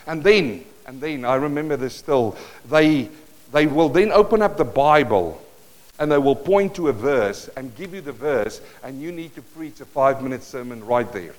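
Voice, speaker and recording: A man from South Africa with an Afrikaans accent, preaching a sermon to a congregation and telling a personal story with animated bursts. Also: mid-range voice, moderate pace, low-quality recording. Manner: storytelling, animated bursts, moves around the stage. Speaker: pastor. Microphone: worn or handheld mic that cuts in and out while he moves.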